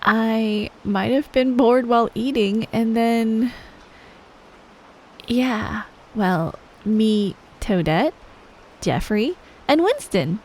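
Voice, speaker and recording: A woman playing a shy, awkward young best friend in an ASMR roleplay, hesitant and soft-spoken, speaking straight to the listener. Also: on the high side, lively intonation, drawn-out words, slight background noise. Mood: playful. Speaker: audio roleplay performer.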